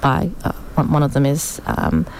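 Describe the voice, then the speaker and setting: Low-voiced woman, chatty guest. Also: normal speed, a little mellow, a little hiss or hum. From Australia, Sydney. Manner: chatty and thoughtful, with many fillers. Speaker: studio guest. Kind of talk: casual radio interview. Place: community radio studio.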